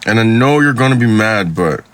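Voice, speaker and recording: A man's voice: fairly low pitch, expressive, normal speed, fairly bright tone. Actor as a weary, guarded young man. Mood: hesitant, confessing. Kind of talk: audio drama dialogue.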